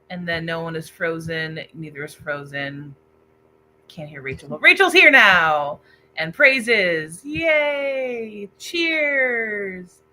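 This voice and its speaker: A woman hosting a casual book livestream, excitable and chatty as she reacts to viewer comments. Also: mid-range voice. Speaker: book discussion livestream host.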